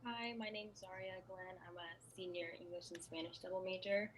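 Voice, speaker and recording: A young woman introducing herself briskly and in a friendly way as a student. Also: lowish voice, slight background noise. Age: young adult. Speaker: student ambassador. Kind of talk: self-introduction on a video call.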